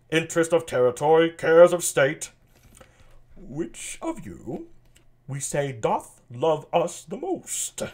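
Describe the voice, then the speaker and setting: A man's voice: medium pitch, a little mellow. A man doing a grand, weary old king.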